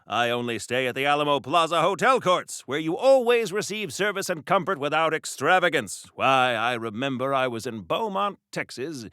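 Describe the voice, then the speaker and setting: An actor playing a pompous, chipper American superhero of the wartime era, in a campy, grandiose 1940s radio-serial style for a video game. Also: male voice, high-pitched, sharp tone, dry recording, clean studio sound, heavily acted. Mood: affronted, prim. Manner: grandiose, old-fashioned, wordy, theatrical.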